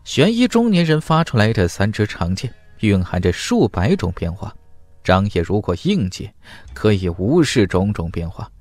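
Male fantasy audiobook narrator, dramatic and very varied in pitch, dry close sound. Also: big pitch swings, moderate pace, darkish tone, dry recording.

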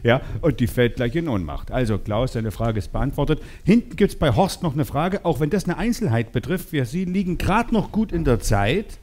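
Male sales trainer, forties, soft-edged timbre, mid pitch, animated and teasing delivery for a live seminar. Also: normal speed, few pauses. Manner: animated, teasing, role-plays short dialogues. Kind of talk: lively seminar talk to participants. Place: seminar room with participants. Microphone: headset.

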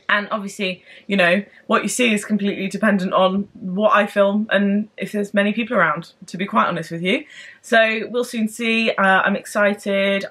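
Bubbly young woman vlogging excitedly. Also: medium pitch. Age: young adult. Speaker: book vlogger. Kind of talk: casual chatty vlog. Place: home room with bookshelves, later parked car.

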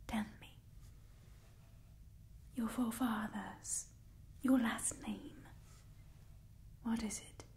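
A woman's voice: fairly high pitch, fairly even intonation. Woman as a haughty whispering vampire. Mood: dismissive, impatient. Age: young adult. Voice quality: soft breathy whisper. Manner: disdainful, theatrical, weary sighing, slow and deliberate. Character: ancient haughty female vampire clan overseer, bitter and cold. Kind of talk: whispered ASMR roleplay.

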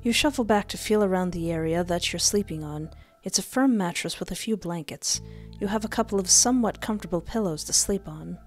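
Expressive woman streamer over game music. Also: lowish voice, fairly quick, hardly any pauses. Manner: playful, expressive. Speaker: gaming streamer. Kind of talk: let's play, reading game text aloud. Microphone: close desk microphone with headset.

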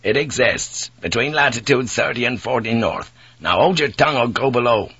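A man's voice: medium pitch, moderate pace, pushed and bright, husky. A man voicing a hardened English ship's pilot who speaks curtly and with command. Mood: stern. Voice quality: curt, commanding.

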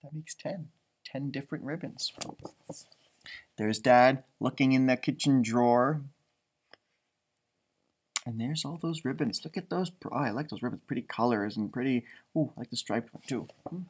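A man's voice: medium pitch, moderate pace, long pauses, slightly rough. An American man in his thirties reading a children's storybook aloud expressively, with chatty asides. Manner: animated, commenting on pictures. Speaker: storybook reader for schoolchildren. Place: indoors, small library room.

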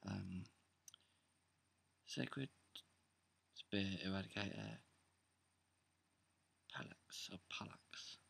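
A young man with a sore, croaky throat, talking quietly in a half-whisper at home while he goes through his card game deck for a casual video. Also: sits low. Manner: hushed, half-whispering late at night. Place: indoors, seated on a bed or floor at home. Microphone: camera close in front, pointed at his hands.

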